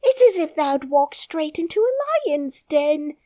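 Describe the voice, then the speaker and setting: A woman voicing an excitable young housemaid with a Yorkshire dialect, speaking loud and high. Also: unhurried, dry recording, studio quality. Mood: alarmed. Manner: shrill, loud and agitated.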